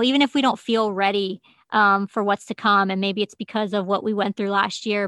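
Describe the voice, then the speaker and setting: A woman speaks as an interview host in an easy conversational style. She is affirming and gently earnest. Her pitch is average for a woman, and the recording is dry with no room sound. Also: no echo.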